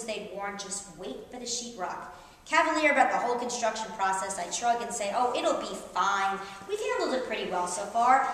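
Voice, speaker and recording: A middle-aged woman author reading a humorous personal essay aloud to an audience, with animated, wry storyteller emphasis. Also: fairly high pitch. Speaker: author reading at a book tour event. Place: reverberant indoor event room. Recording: very echoey room, distant, faint background noise.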